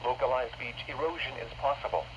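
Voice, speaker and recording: A man's voice: medium pitch, expressive, quick pace, highs cut off. A man reading a formal, impersonal scripted weather bulletin in clipped segments, heard over a weather radio broadcast. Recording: noisy radio reception, static.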